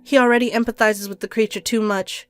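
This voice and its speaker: A woman's voice: on the high side, fairly even intonation, brisk, no echo, natural voice. An actor playing a cold, impatient woman doctor in an audio drama, curt, clinical and scheming. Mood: cold, calculating.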